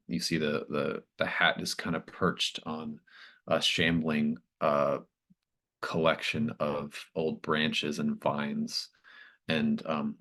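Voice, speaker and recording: A man running a tabletop horror game, improvising descriptive narration in a halting, thoughtful manner. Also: extremely low pitch, a bit bright. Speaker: game master.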